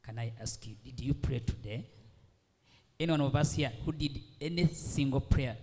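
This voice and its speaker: A man's voice: mid-range voice, moderate pace, warm tone. A man from Uganda, speaking earnestly as a panelist and addressing the audience directly. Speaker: cooperative general manager, panelist. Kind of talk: conference panel remarks. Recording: long reverb of a conference hall.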